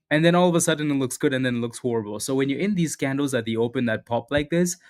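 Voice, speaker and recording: A man with a mid-range voice hosts a live trading stream in a loose, upbeat way. He repeats himself and calls viewers by name, and he sounds a little hoarse, clearing his throat now and then. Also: medium pitch. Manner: casual, upbeat, repeats phrases, greets chatters by name. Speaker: stock trading livestream host. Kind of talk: live market commentary with chat shout-outs.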